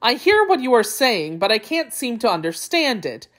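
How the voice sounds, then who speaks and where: A woman's voice: on the high side, very animated, normal speed, pitched above the performer's own voice. Woman voicing a befuddled, lilting storybook jackal. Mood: puzzled, polite. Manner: polite, dithering, feigning bewilderment. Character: sly jackal feigning befuddled, fretful confusion. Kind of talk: storybook character voice.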